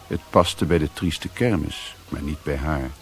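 An actor playing a weary, sombre adventurer, narrating his journey in measured, solemn literary style for a radio drama. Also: extremely low pitch, faint music behind. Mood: sombre, brooding.

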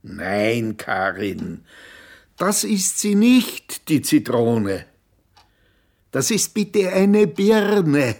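An actress playing a patient, coaxing carer, speaking deliberately like a schoolteacher in a radio play. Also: female voice, sits low, sing-song, a little mellow, heavily acted. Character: patient, coaxing carer teaching a confused relative from pictures. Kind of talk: radio drama acting.

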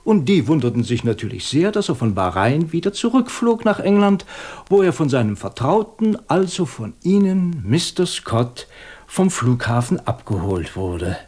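An actor playing a shrewd, composed police inspector in a German radio crime drama, questioning methodically with dry superiority. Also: male voice, fairly high pitch, sing-song, normal speed, a little mellow. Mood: probing, confident. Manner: methodical, probing, dryly superior.

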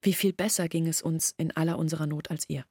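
An actress playing a village woman in a radio drama, recounting her outcast family's shame in a measured, reflective way. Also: low-pitched, fairly even intonation, warm tone, husky, no echo, studio quality. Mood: sorrowful recollection. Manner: measured, reflective, articulate storytelling. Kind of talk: literary radio drama monologue.

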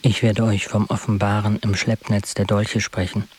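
An actor playing a sombre, defiant poet-witness in a literary radio drama, speaking gravely in declarations. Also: male voice, on the low side, little pitch movement, normal speed. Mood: grave, declarative.

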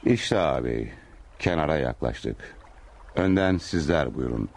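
A man's voice: a bass voice, expressive, unhurried, gravelly, darkish tone, heavily acted. An actor playing a stately, devout Ottoman sultan in a radio play, speaking in archaic, courtly exclamations. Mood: puzzled, amazed. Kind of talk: Turkish radio theatre acting.

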